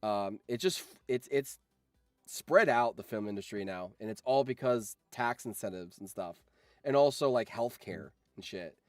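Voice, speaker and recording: A man's voice: on the low side, lively intonation, normal speed, no room sound. Casual man streamer with a close, dry sound. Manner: rambling, freely swearing. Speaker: streamer and video editor chatting with viewers. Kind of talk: casual livestream chat.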